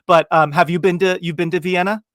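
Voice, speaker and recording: An American man with a somewhat high voice chats as a podcast host in an enthusiastic, informal way, often saying "like". The sound is dry and close, with very little room in it. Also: fairly even intonation, a little fast, fairly bright tone, no room sound. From New Jersey, United States. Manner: chatty, enthusiastic, uses "like" often. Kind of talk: casual chat.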